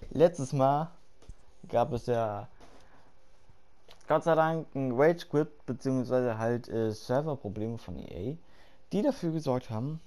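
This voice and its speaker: A hoarse man talking through his game setup in casual commentary, swearing freely and thinking aloud with fillers, late at night. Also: on the high side. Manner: loud and excited at the start, quieter later. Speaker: gaming YouTuber. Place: home room at night.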